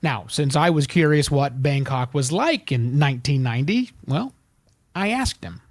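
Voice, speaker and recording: Higher-voiced male podcast host, dry close mic. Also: moderate pace, no echo. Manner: animated, self-deprecating, addresses listeners. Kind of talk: casual podcast interview.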